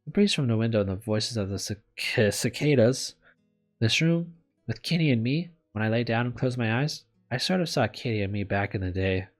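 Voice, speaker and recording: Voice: mid-range voice, animated, moderate pace, faint music behind. A video game streamer reading a visual novel aloud and commenting casually, in a candid, self-deprecating, apologetic way with some swearing.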